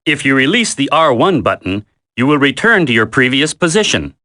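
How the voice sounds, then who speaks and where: An actor playing a military instructor in a video game tutorial, coaching a recruit in a firm, deliberate, commanding way. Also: male voice, mid-range voice, very animated, pushed and bright. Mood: instructive, firm.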